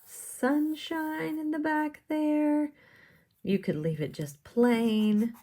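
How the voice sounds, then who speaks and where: A woman's voice: medium pitch, creaky, darkish tone. A woman art teacher giving step-by-step drawing instructions in simple, encouraging language, often putting questions to the viewer.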